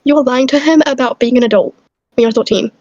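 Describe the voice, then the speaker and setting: A woman's voice: a little high, fast, a little mellow, no room sound, lightly acted. A woman playing an indignant, scolding gossip in casual banter, recorded on a dull, dry mic.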